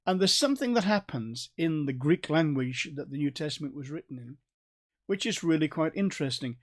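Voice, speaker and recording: A man's voice: fairly high pitch, expressive, brisk. Friendly Staffordshire man teaching scripture. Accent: North Staffordshire English. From England. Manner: conversational, with restarts and gentle asides. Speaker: Bible teacher. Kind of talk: recorded Bible study talk.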